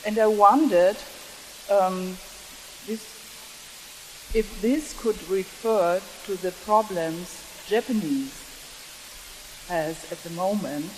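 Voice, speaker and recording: Female audience questioner, native German speaker with German accent, hesitant and apologetic, slow delivery, hissy sound. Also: medium pitch. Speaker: audience member asking a question. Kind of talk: halting question from the floor. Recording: hissy, echoing conference hall.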